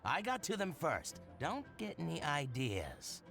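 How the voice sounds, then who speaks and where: A man's voice: fairly high pitch, wildly swooping pitch, a little slow, creaky, fairly bright tone, full character voice. An actor playing a shrewd middle-aged male boutique merchant who haggles with theatrical swoops, game music behind. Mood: chatty.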